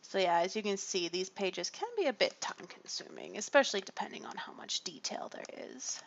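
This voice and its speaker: A woman chatting casually to her livestream viewers while she draws colouring pages. She rambles along with a gravelly voice, lots of fillers and little laughs. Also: medium pitch, lively intonation, fairly quick, whispered, highs cut off. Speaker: streamer drawing colouring pages.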